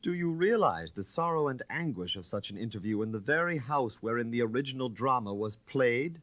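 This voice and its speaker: A man voicing an audacious young gentleman thief in an audiobook, courteous and teasing, with theatrical delivery. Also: medium pitch, lively intonation, brisk, no room sound, voice pushed up, lightly acted. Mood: dramatic, anguished.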